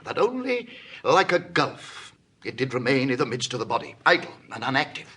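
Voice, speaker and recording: An actor playing a genial, wily Roman patrician in a Shakespearean drama, with a husky voice and a teasing, theatrical delivery. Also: male voice, medium pitch, very animated, moderate pace, pushed and bright, exaggerated voice. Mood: sly storytelling. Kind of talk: classical Shakespearean stage acting.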